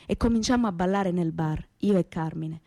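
A woman's voice: on the low side, moderate pace, vocal fry, dark tone, no room sound, clean studio sound. A woman playing a naive, plain-spoken young working-class woman from Reggio Calabria, in a theatrical monologue with a Calabrian accent. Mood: wistful. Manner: colloquial dialect-tinged telling, repetitive. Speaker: stage actress, narrator. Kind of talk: theatrical first-person monologue, radio theatre storytelling.

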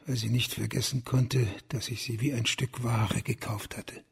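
A condemned, lovelorn man in a radio crime play. His mid-pitched voice is very husky and full of gravel. He speaks in a brooding, intense way, proud and bitter. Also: level tone, moderate pace. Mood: bitter, anguished. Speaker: actor in a radio crime drama. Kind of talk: German radio play acting.

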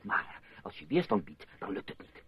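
A man playing an intense, suspicious patient in a radio drama, speaking with insistent, deliberate urgency. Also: sits low, big pitch swings. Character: intense, suspicious male patient convinced of brainwashing. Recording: dull old radio play recording.

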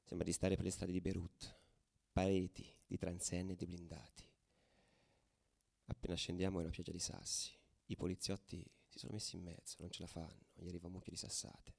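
A man acting a fervent football ultra in a theatrical monologue, telling his story in an intense, deliberate way with repeated phrases. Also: low voice, completely flat delivery, creaky. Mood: hushed, brooding. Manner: intense, deliberate, repeats phrases, swings between hushed and shouted. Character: fervent male football ultra recounting riots and away trips. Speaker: stage actor in a radio theatre piece. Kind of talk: dramatic first-person theatrical monologue.

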